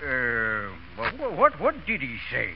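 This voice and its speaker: An actor playing a folksy, blustering, loyal American Westerner in a radio comedy, with a Western accent and a habit of repeating words. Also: male voice, mid-range voice, huge pitch swings, slow, exaggerated voice. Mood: folksy, flustered. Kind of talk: 1930s-40s radio comedy acting. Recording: muffled, hissy old radio recording.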